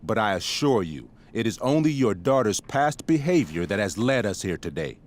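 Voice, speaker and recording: An actor playing a stern, middle-aged high school principal with a measured, officious manner in a video game drama. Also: male voice, very animated. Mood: stern, disappointed.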